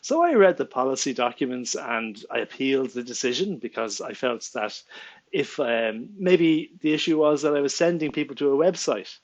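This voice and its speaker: A man's voice: mid-range voice, animated, moderate pace, dull audio. A man in his forties with an Irish accent, an Irish native speaker, venting his frustration straight to camera from a small room at home, stumbling over his words and laughing in disbelief. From Ireland. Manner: exasperated, restarts often, laughs in disbelief, gestures with hands. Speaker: vlogger and small business owner. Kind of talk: indignant direct-to-camera rant.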